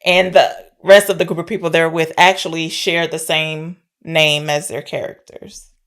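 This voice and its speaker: A woman's voice: low voice, level tone, moderate pace, a bit bright. A woman co-hosting a podcast, casually and playfully discussing films. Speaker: podcast co-host.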